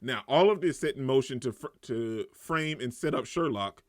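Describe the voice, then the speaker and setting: A man giving a casual, excitable reaction and review as a channel host, often repeating himself for emphasis. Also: medium pitch, moderate pace, no room sound, clean studio sound.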